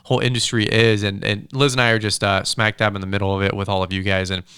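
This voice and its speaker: A man with a crisp, bright voice talks quickly as a podcast host. His delivery is casual and upbeat, rambling along with bro-ish slang. The sound is close and dry, as in a studio. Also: fairly low pitch, flat delivery, dry recording. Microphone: close studio microphone.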